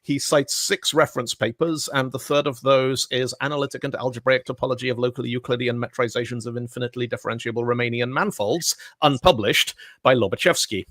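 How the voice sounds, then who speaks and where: A man's voice: medium pitch, fast talker, hardly any pauses, dry recording. A man co-hosting a casual podcast, rambling through anecdotes and acting out quotes. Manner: rambling storyteller, animated quotes. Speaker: podcast co-host. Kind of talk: casual chat.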